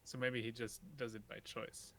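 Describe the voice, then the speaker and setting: A man's voice: medium pitch, level tone, normal speed, fairly bright tone. A man co-commentating on a game stream in casual chat, with a dry, teasing manner. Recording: faint background noise.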